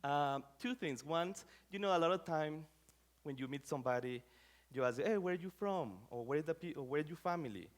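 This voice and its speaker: A man's voice: on the high side, lively intonation, moderate pace. A middle-aged man from Rica, giving an academic talk in a conversational way with many fillers and phrasing. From Costa Rica. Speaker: scholar giving a lecture.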